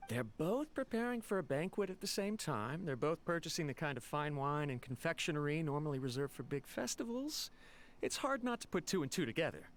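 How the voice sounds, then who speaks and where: A man's voice: a little high, big pitch swings, brisk, a little hiss or hum. An actor playing a friendly, polite and eager young male attendant in an anime video game. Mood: speculative. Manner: polite, chatty, earnest. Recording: music behind the voice.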